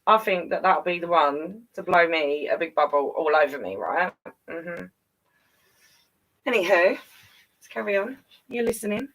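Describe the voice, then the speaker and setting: A woman's voice: fairly low pitch, expressive, measured pace, long pauses, a bit bright, low-quality recording. A woman reading a children's picture book aloud expressively, with chatty asides straight to young listeners. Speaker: children's storyteller.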